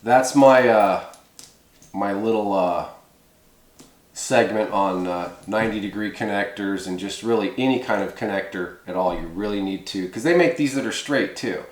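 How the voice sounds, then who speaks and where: Low-voiced man in an echoey room. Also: normal speed. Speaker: presenter of a CB radio how-to video. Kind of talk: informal hands-on tutorial, unscripted. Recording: echoey room with background noise.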